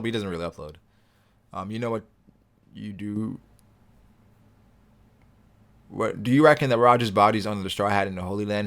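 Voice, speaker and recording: A young man with a fairly low voice hosts a laid-back livestream, reading out chat comments and answering them as he goes. He rambles a little and keeps the tone easygoing. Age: young adult. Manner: relaxed, rambling, reads viewer comments aloud.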